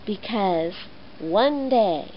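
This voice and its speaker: A woman telling a Bible story to children in an animated, expressive way, on a muffled recording with faint noise. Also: mid-range voice, extremely slow.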